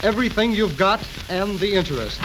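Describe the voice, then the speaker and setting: A hissy 1930s radio recording holds a high-pitched man. He delivers his lines briskly and bluntly. The style is emphatic old radio comedy. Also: animated. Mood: grimly emphatic. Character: matter-of-fact lawyer bearing bad news.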